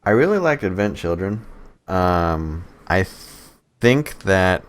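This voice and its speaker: A man's voice: deep voice, big pitch swings, slow. A man co-hosting a podcast, chatting casually about films in profane, slangy language. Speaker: podcast co-host.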